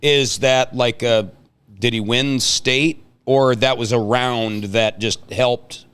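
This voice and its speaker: A man's voice: mid-range voice, steady tone, slow, a bit bright, clean tone. A man hosting a casual chat, playfully prompting and hyping up the moment in a mock-dramatic way. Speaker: host.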